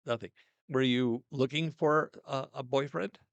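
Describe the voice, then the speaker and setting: An older man hosting a casual interview in a small studio, talking loudly and coaxingly into a directional mic. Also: medium pitch, steady tone, unhurried, vocal fry, dry recording, dull audio. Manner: loud, coaxing, chatty. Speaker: host.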